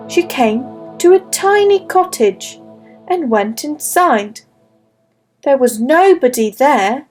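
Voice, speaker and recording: A woman narrates a classic fairy tale for children in a slow, careful, gentle reading. Her voice sits high and the pace stays unhurried throughout. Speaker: storyteller. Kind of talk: scripted children's story narration.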